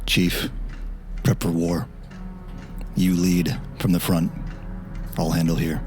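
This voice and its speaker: A man's voice: deep voice, little pitch movement, slow, darkish tone, faint music behind. A man playing a stern military leader who gives terse, authoritative orders in a tabletop roleplay. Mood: commanding.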